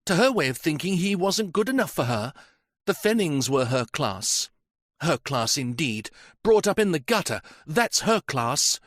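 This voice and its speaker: A grieving young woman in a mystery audiobook, defiant and close to tears, as a male narrator puts her on. Her voice rides high and swings widely, with a dry, close sound. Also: big pitch swings, unhurried, a bit bright, no echo, full character voice. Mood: impassioned. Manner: impassioned, tearful outbursts.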